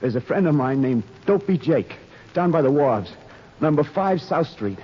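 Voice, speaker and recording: A man's voice: a little high, animated, moderate pace, slightly rough. An actor playing a young, tough, bitter condemned gunman with slangy street talk, in 1930s radio drama style. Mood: bitter, insistent. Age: young adult. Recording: scratchy, muffled 1930s radio recording.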